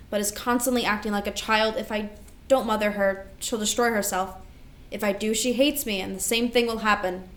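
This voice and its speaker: A woman's voice: medium pitch, roomy sound, lightly acted. A woman playing an anxious, protective older sister, worried and pleading, in a stage play reading.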